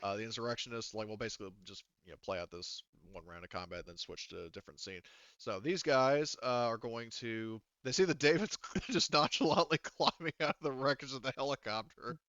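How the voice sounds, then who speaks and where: Bright-voiced man running a tabletop game. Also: medium pitch, a little fast, no echo, muffled recording. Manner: informal, casually profane. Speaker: game master. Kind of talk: narrating a tabletop roleplaying game, casual.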